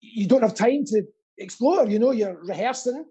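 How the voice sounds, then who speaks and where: A man with a husky voice, hosting in a casual, jokey and theatrical way. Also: very high-pitched, lively intonation, moderate pace, mellow. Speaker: quiz host and pantomime performer. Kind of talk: casual comic chat. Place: indoors at home, remote call.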